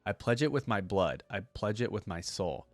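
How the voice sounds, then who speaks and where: A vengeful, grieving swordswoman from a fantasy story, read aloud by a man. The lines are solemn and imploring, set fairly low. The recording is dry and close. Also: animated, normal speed, dry recording. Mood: solemn pledge. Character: grieving, vengeful swordswoman wife pleading to a spirit.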